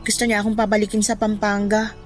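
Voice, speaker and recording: A woman's voice: medium pitch, completely flat delivery, quick pace, faint music behind, close to an everyday voice. A woman playing a young best friend in a radio drama. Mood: troubled. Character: playful, teasing young woman, boy's childhood best friend.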